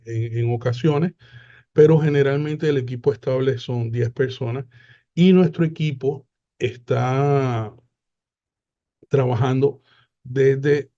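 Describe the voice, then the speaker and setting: A man, presenting a webinar in an unhurried way with frequent hesitant fillers over a video call. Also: medium pitch, mellow, lo-fi sound. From Puerto Rico.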